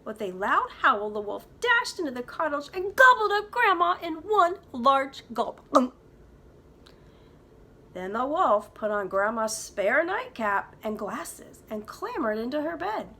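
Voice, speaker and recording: A woman's voice: high voice, big pitch swings, measured pace, sharp tone. A woman preschool teacher reading a storybook aloud to young children, with dramatic emphasis.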